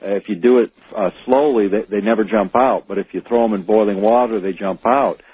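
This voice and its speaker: A man speaking as an expert guest on a radio call-in show, explaining things in a halting but emphatic way. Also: lowish voice, breathy edge, no room sound. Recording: tinny phone-like radio line.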